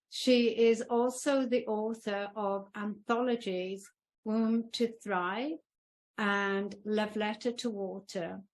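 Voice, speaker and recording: A woman's voice: medium pitch, low-quality recording. A woman hosting a wellness interview podcast, introducing her guest with effusive praise. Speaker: podcast host.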